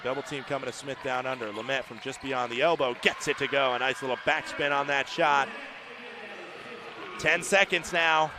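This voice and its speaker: A man giving live play-by-play of a college women's basketball game, chatty and opinionated, getting louder at close plays. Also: mid-range voice. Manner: chatty, opinionated, rises to shouting at big plays. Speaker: play-by-play announcer. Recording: noisy arena broadcast feed. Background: gym ambience and ball bounces.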